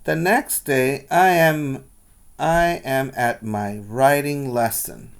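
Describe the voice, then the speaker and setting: A male preschool teacher reads a children's book at a slow, measured pace in a mid-range voice. He is instructional, adding simple explanations and questions for his young listeners. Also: medium pitch, creaky, smooth. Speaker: preschool teacher reading aloud. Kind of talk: children's read-aloud with explanatory asides.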